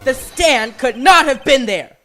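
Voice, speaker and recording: An earnest young male lawyer, acted by a man, theatrical and easily rattled in a courtroom game dub. He speaks in measured, emphatic phrases over background music. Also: extremely high pitch, expressive, pushed and bright, full character voice. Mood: confident, pressing. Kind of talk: video game fandub courtroom drama.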